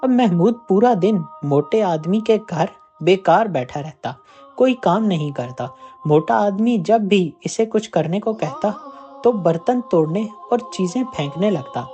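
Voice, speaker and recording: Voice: mid-range voice, animated, unhurried, dark tone, soft background music. A narrator reading a scripted moral tale as a storyteller.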